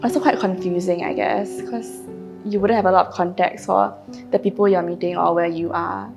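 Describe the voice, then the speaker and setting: Young woman with vocal fry. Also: fairly low pitch, steady tone, normal speed. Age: 20s. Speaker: medical student. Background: guitar music.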